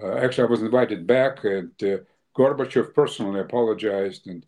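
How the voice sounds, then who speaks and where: A man's voice: fairly low pitch, brisk, a little mellow. Hesitant older man. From born in Ukraine, came from Russia. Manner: hesitant, many fillers and restarts. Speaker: guest. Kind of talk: interview answers.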